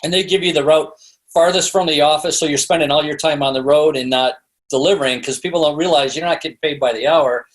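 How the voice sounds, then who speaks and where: A man's voice: on the high side, fast, poor audio. A middle-aged man speaking as an interview guest, telling stories in a casual, chatty, rambling way. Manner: chatty, rambling, peppered with "you know". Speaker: podcast guest, sales expert and author. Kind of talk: casual anecdotal interview storytelling.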